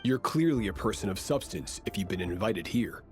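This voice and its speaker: Actor as animated, shrewd, imperious conspirator. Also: male voice, fairly low pitch, moderate pace, faint music behind, natural voice. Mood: appraising. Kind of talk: dramatic video game voice acting.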